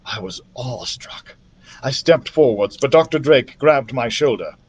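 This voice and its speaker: A man's voice: medium pitch, lively intonation, measured pace, husky, muffled recording. A man reading a children's adventure story aloud as a library storytime reader, with careful, dramatic phrasing. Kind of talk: expressive scripted storybook narration.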